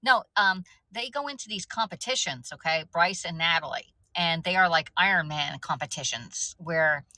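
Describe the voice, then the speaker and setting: Woman, 50s, livestream host, chatty and rambling, lowish bright voice. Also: normal speed, hardly any pauses, no echo, low-quality recording. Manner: rambling, chatty, talks to viewers by name. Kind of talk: casual livestream chat.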